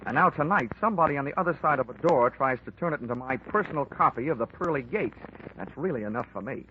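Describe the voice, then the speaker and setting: A man's voice: mid-range voice, expressive, quick pace, slight background noise, close to an everyday voice. An actor playing a hard-boiled male insurance investigator who narrates his own case in a 1940s radio drama, dry and wisecracking. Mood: wry, sardonic. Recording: muffled old radio broadcast with music.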